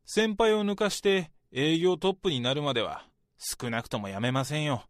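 A man's voice: fairly high pitch, moderate pace, no room sound, studio quality, natural voice. A man playing an ambitious, self-assured young office worker in a radio drama, speaking politely and earnestly to his senior. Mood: confident, earnest. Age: young adult. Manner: polite junior speech, talkative about ambitions. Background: background music.

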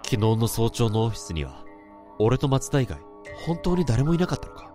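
A man's voice: mid-range voice, sing-song, normal speed, soft background music, natural voice. An actor playing a tense office worker who narrates his own inner thoughts in a radio drama. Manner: tense, brooding, rising to urgent shouts. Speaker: narrator and protagonist.

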